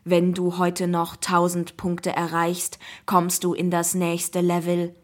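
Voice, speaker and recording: A woman voicing a friendly, coaxing AI app character in a children's audiobook, speaking in a slow, measured way. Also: on the low side, completely flat delivery. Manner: slow, measured, deliberate delivery. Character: friendly, smooth-talking AI app voice, inviting and coaxing.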